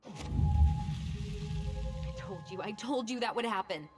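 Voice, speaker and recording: A woman's voice: medium pitch, expressive, measured pace, music in the background, lightly acted. An actress playing a guarded, reluctant young woman, hurt and wary, in a naturalistic video game cutscene. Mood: resigned. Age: young adult.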